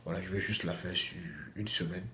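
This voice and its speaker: A man's voice: sits low, fairly even intonation, fairly quick, heavy background noise. A man with a cold, chatting casually to his phone camera in a small bathroom at home, repeating himself and restarting his sentences. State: has a cold. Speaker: vlogger. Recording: echoey room with faint ambience.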